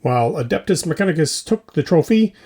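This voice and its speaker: A man's voice: on the high side, expressive, brisk, mellow, breathy edge. A man hosting a scripted mock news show, delivering it like a theatrical, mock-serious newscaster. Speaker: host of a hobby news show.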